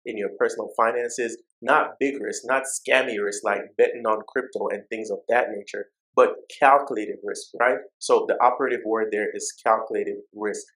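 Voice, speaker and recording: A man's voice: on the low side, fairly bright tone, poor audio. Jamaican man giving a motivational money talk. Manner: direct and exhorting, repeats phrases for emphasis. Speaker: personal finance YouTuber.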